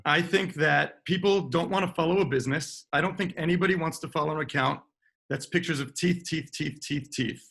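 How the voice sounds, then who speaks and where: A man, a dentist, talking casually on a panel with a scratchy voice, often clearing his throat as he agrees and explains. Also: on the high side, fairly quick, a bit bright.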